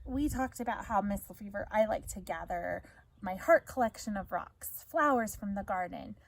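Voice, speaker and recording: A woman's voice: mid-range voice, a little hiss or hum. A woman teacher reading a story aloud to children, explaining as she goes and prompting them with questions.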